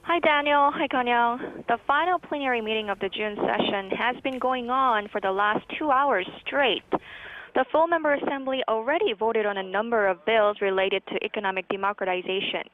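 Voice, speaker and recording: A woman's voice: on the high side, moderate pace. Woman news correspondent on a tinny phone line. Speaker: political correspondent reporting live. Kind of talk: news report. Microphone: telephone.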